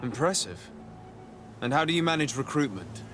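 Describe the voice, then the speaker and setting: An actor playing a polite, inquisitive diplomat in a video game, with a formal and composed manner. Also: male voice, mid-range voice, big pitch swings, moderate pace, fairly bright tone, faint music behind. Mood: probing. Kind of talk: video game dialogue acting.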